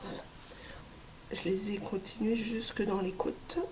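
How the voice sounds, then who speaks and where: A woman in her thirties, hoarse from a cold, hosting a casual knitting vlog with many hesitations and soft asides. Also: medium pitch, steady tone, measured pace, very noisy. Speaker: knitting podcast host.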